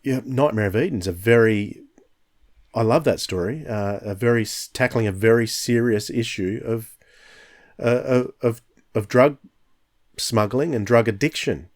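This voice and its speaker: A man's voice: medium pitch, measured pace. A man in his fifties hosting a podcast, talking in a reflective, enthusiastic way with frequent restarts. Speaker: podcast host. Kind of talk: casual podcast discussion.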